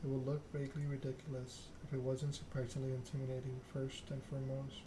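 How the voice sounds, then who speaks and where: A man reads narrative game text aloud for ASMR in a measured, soothing way. His mid-pitched voice stays very even, with noticeable noise and clicking behind it.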